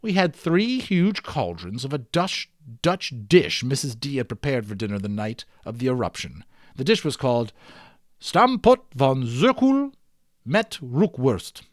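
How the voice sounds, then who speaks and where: A man's voice: mid-range voice, unhurried, no room sound. Man reading a storybook aloud, dry close sound. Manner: expressive, enthusiastic storyteller, emphasizes and draws out words. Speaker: amateur audiobook reader. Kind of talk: dramatic read-aloud of a children's novel with casual asides.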